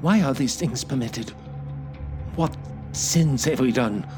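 A man voicing a frantic, half-mad male curate who wails and shouts in a high voice. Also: slow, natural voice. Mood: anguished. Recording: music playing behind.

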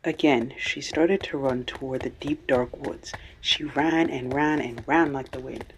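A man's voice: mid-range voice, a little slow, a little hiss or hum, natural voice, low-quality recording. A man narrating a children's radio play as a scripted storybook tale.

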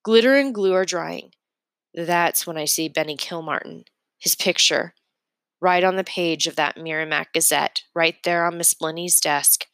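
A woman's voice: lowish voice, unhurried, a bit bright, dry recording. A woman narrating a children's novel aloud in a storytelling read-aloud style. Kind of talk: expressive audiobook-style reading of a children's novel.

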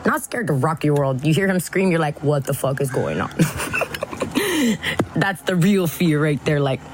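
A woman's voice: deep voice, animated, moderate pace, noisy background. A woman with a Texan Chicano English accent, telling a story in a voicemail, giggling and swearing freely. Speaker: voicemail caller.

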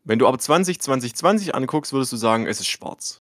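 A man's voice: mid-range voice, animated, quick pace, a bit bright, no room sound, studio quality. A man co-hosting a podcast in casual chat, rambling through everyday stories and arguing small details. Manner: chatty, rambling storyteller, argues details. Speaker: podcast co-host.

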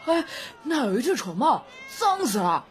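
A woman voicing a jeering, mean young child bully with a shrill, taunting manner in an audio drama. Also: very animated, normal speed, slight background noise. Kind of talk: Chinese audio drama acting.

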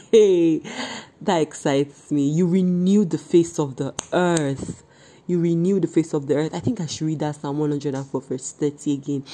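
Excitable Nigerian American woman podcast host. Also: deep voice, a little hiss or hum. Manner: excitable, laughs often, exclaims.

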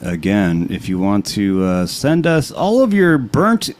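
A man co-hosting a comedy podcast, crude and sarcastic, swinging from tired mumbling to loud rants. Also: fairly low pitch, wildly swooping pitch, unhurried. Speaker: podcast co-host. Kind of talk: casual comedic chat.

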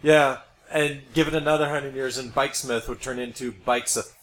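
A noisy amateur podcast recording of a middle-aged American man. He talks casually as a co-host, spinning out hypothetical ideas at a mid-range pitch. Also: fairly even intonation, brisk, a bit bright. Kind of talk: casual speculative chat. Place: home studio. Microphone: podcast microphone.

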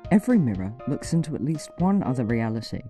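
A man's voice: medium pitch, very animated, quick pace, warm tone. A man reading scripted credits for a fiction podcast. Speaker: credits reader. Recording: faint guitar music behind.

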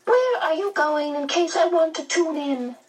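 A woman's voice: high-pitched, animated, unhurried, a little mellow, recorded from a distance, voice pushed up. A woman voicing a jolly, flirtatious, sentimental otherworldly medium in a gushing, exclamatory storybook manner. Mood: curious.

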